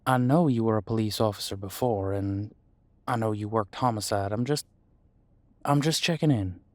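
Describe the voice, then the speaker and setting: A man playing an easygoing demon homicide detective in an audio roleplay, talking in a casual, folksy, reassuring way with hesitant pauses. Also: lowish voice, no room sound, natural voice. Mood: friendly, reassuring. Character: easygoing male demon homicide detective mentoring new partner. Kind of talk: audio roleplay drama, conversational monologue.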